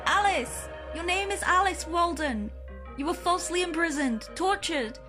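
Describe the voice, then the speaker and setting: Determined young heroine, played by an actress: very high, firm horror drama delivery over music. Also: female voice, normal speed. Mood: commanding. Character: resolute young woman leading a banishing ritual. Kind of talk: horror audio drama acting.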